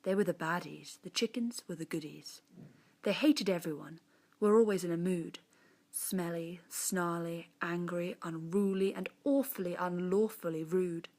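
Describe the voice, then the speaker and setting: A woman reading a children's story-poem in verse, theatrical and expressive, with hushed moments and dramatic swells. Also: lowish voice, unhurried.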